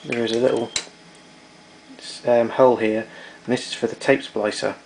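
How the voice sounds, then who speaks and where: A man's voice: medium pitch, fairly even intonation, normal speed, vocal fry, darkish tone, a little hiss or hum, rough recording. A man giving an unscripted technical demonstration in a matter-of-fact, somewhat hesitant way. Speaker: demonstrator of vintage tape recorder.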